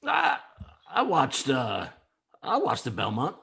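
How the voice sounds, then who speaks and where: Gravelly, befuddled radio caller, played by a man. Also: medium pitch, huge pitch swings, sharp tone, full character voice. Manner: halting, stammering, muddled. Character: confused, stammering, clueless male radio caller. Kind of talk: comedy table read of sports talk radio.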